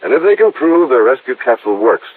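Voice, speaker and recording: Husky American insurance official, vintage radio. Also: male voice, mid-range voice, big pitch swings, fast, narrow, tinny audio. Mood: earnest. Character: earnest, businesslike male insurance company official. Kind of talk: mid-century American radio drama acting. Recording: band-limited old radio recording.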